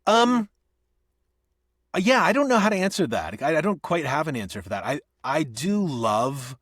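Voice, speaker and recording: A man's voice: fairly high pitch, very animated, moderate pace, husky, dry recording. Reflective man in a dry booth. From Northeast Ohio. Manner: reflective, thinks aloud with restarts and soft laughs. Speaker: actor and audiobook narrator, guest. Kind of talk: conversational podcast interview.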